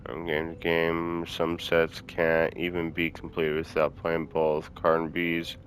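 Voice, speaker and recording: Deep-voiced man doing casual game commentary. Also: monotone, slow, a little hiss or hum. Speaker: video game streamer.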